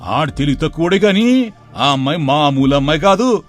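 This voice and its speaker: A man voicing a scheming middle-aged uncle in an animated story, sly and wheedling. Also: on the high side, normal speed, lightly acted. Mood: frustrated. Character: scheming middle-aged man plotting to seize his sister's estate.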